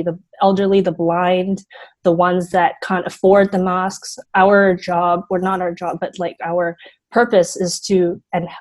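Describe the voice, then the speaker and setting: A teenage girl speaking earnestly in an interview. Her voice sits a little low for her age and she scatters "you know" through her answers. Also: darkish tone. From Hong Kong. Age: teens. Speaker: youth fund founder, guest.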